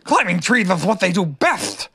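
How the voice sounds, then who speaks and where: Storybook tiger show-off, done by a reader, middle pitch, emphatic, full of bluster. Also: sing-song, normal speed, gravelly, crowd noise. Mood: boastful. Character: boastful, bouncy young tiger, confident show-off.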